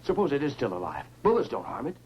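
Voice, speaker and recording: A man's voice: mid-range voice, wildly swooping pitch, fast, dull audio. An actor playing a practical, decisive man eager for action, in 1950s science fiction film style. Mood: urgent. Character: urgent, practical man eager to hunt the monster. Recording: faintly hissy 1950s film soundtrack.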